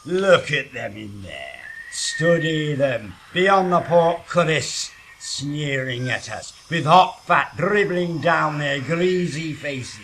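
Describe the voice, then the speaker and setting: A man's voice: on the high side, animated, takes it slowly, hardly any pauses, a bit bright. A male actor playing a grandiose, hard-hearted duke besieging a castle, oratorical and commanding, in a comic audio drama. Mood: bitter, rousing. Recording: noisy background ambience.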